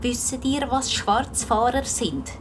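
A woman's voice: a little high, animated, slow pace, pitched above the performer's own voice. A woman with a Swiss German accent voicing a stern ticket inspector who lectures children. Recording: noisy vehicle ambience.

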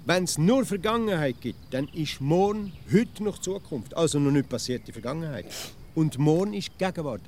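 A stubborn small-town Swiss man who fancies himself a philosopher. He explains insistently in a Swiss German accent, with a fairly high voice that swings up and down. It is a comic radio sketch. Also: expressive, moderate pace, darkish tone, a little hiss or hum. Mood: insistent explaining. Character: stubborn wily provincial Swiss male amateur philosopher.

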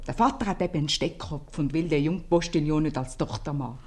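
A homely Swiss German innkeeper's wife, middle-aged, blunt and anxious, low breathy-rough tone, old radio comedy. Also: female voice, quick pace, a little mellow. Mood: earnest. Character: worried, plain-spoken innkeeper's wife, mother of a runaway daughter. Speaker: actress in a radio play.

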